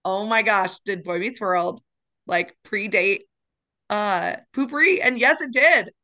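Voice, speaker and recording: A woman's voice: mid-range voice, unhurried, bright, forceful tone. A woman co-hosting a podcast in casual chat, rambling and giggly, sometimes breaking into song. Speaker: podcast co-host.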